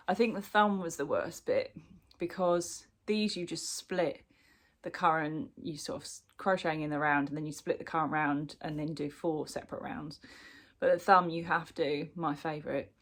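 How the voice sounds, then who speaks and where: A woman from the UK chatting casually through a crochet work-in-progress update, sounding bunged up from a cold, with lots of ums and restarts. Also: sits low. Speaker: crochet vlogger. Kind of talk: casual show-and-tell chat.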